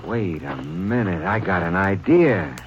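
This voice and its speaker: A scheming crook on the run, barking orders in a low, lively voice. The old radio recording is dull and hissy. It has the sound of a 1940s radio play. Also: male voice, a little slow. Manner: snappish, bossy. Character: gruff male fugitive robber, scheming.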